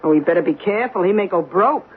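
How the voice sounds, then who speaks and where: A woman's voice: lowish voice, expressive, quick pace, slightly rough. An actress playing a worried, practical working man's wife, anxious and questioning, in an old-time radio drama. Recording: noisy lo-fi narrow-band radio broadcast.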